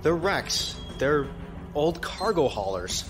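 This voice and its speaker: Junior male lieutenant, sci-fi audio drama: inquisitive, deferential, raised pitch, prominent music underneath. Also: sing-song, slow. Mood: puzzled. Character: keen, questioning junior male naval lieutenant. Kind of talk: science fiction audio drama acting. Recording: music behind the voice.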